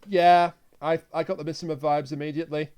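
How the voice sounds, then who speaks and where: A man's voice: fairly high pitch, flat delivery, fairly quick, darkish tone, clear, resonant tone. A man talking casually as a podcast reviewer in a discussion.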